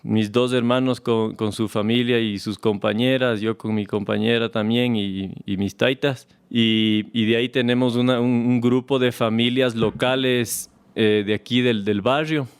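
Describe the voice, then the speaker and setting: A man from Ecuador with an Ecuadorian Spanish accent, a farmer talking as a guest in a relaxed, unhurried way, often restarting and repeating words. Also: mid-range voice, clean tone. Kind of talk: relaxed conversational storytelling. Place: farm, recorded on location.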